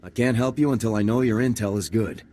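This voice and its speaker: An actor playing a businesslike special forces lieutenant in a video game, terse, formal and dutiful. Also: male voice, fairly low pitch, quick pace, dark tone, a little hiss or hum, close to an everyday voice. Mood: firm.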